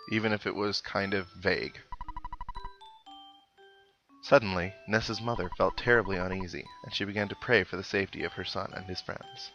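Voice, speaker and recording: Low-voiced man commentating over game music. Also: gravelly, a bit bright, dull audio. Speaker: let's play host. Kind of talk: game playthrough commentary, reading game text aloud. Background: video game music.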